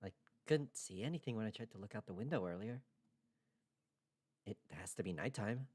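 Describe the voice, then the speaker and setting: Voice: medium pitch, expressive, a little fast, darkish tone, breathy edge, dry recording, voice pushed down. A performer voicing a bewildered, hot-tempered young man trapped in a deadly visual novel game, questioning and agitated. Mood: puzzled.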